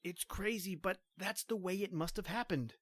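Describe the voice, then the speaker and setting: An actor playing an earnest, insistent young trooper. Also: male voice, sits high, moderate pace, no room sound, clean studio sound. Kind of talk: old-time radio drama reading.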